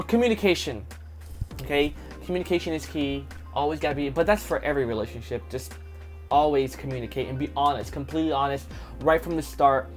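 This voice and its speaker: A young man chatting casually with his wife in a couple's question-and-answer video, easygoing and rambling with lots of filler words. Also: medium pitch. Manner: easygoing, chatty, full of "like" and "you know". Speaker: co-host of a couple's channel. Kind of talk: casual Q&A chat.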